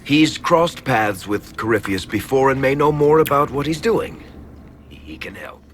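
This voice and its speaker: An actor playing a wry, affable rogue companion in a fantasy video game, talking conversationally. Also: male voice, mid-range voice, big pitch swings, measured pace, slight background noise. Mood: sheepish.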